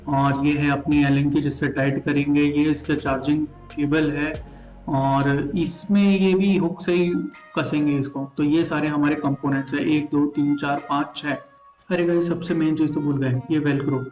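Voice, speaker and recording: A man's voice: fairly high pitch, darkish tone, dull audio. An Indian man giving a casual, chatty, step-by-step product walkthrough as a vlogger, mixing in English words. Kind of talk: casual unboxing and installation walkthrough. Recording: roomy echo with music behind. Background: background music.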